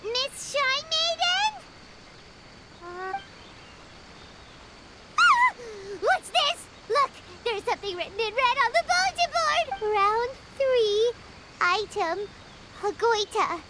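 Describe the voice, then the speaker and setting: A woman voicing a tiny, cheeky, excitable fairy companion with a squeaky childlike voice in a video game. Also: slow pace, pauses often, very noisy, exaggerated voice. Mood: spooked. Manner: refers to herself in third person.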